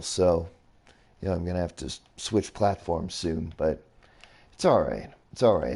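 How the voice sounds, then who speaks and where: A young man casually rambling through a game tour as a streamer, his voice a little raspy, often reacting with surprise. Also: low voice, warm tone. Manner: rambling, easily impressed, mild swearing. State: slightly raspy voice. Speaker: gaming streamer. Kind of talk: casual game commentary while touring builds.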